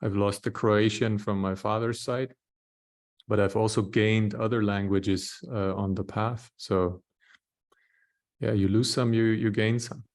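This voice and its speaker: A man from Austria, a panelist telling his story in a reflective, measured way. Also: lowish voice, flat delivery, darkish tone. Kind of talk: panel discussion.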